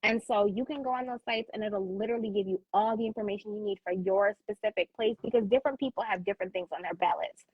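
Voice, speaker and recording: A woman's voice: medium pitch, fast talker, few pauses, breathy edge, no room sound, highs cut off. An American woman co-hosting a talk show, explaining things casually in an emphatic, informal and encouraging way.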